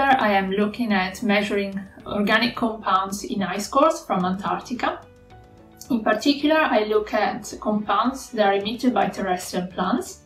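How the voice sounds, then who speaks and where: Italian-accented woman lecturer, roomy room. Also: medium pitch. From Italy. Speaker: university lecturer. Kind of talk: scripted talk to camera. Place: small room indoors. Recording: roomy echo, mic at a distance.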